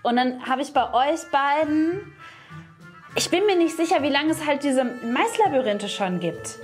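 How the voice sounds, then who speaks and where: A woman in her thirties chatting casually as a game show contestant, thinking out loud in a hesitant way. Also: high-pitched, lively intonation, measured pace, soft background music. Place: indoor studio set, seated at a table.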